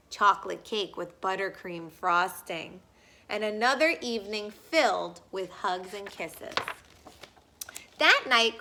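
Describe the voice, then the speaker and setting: A woman reading a children's storybook aloud, expressive and deliberate. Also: a little high. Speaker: storybook reader. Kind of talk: children's read-aloud narration.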